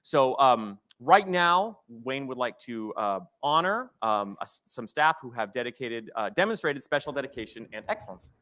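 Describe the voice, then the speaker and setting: Clear bright guy mc-ing a live stage event, kinda halting, finding his words. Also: mid-range voice, big pitch swings. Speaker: event host. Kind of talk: live stage hosting, unscripted. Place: theater event, speaking on stage.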